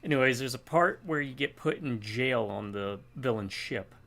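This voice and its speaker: A man's voice: medium pitch, lively intonation, normal speed, vocal fry, a bit bright. A man chatting casually while gaming, telling a long rambling story and acting out bits of dialogue. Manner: rambling storytelling, acts out quotes. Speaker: gamer co-op player.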